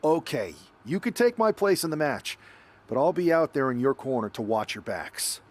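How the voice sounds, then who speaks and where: A man's voice: fairly high pitch, big pitch swings, moderate pace. A man playing a loyal wrestler in a video game cutscene, cautious but supportive. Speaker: wrestler backing a partner.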